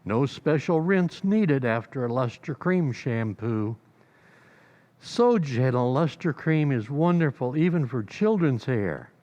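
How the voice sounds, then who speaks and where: Animated American old-time radio announcer man. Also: medium pitch, takes it slowly, dull audio.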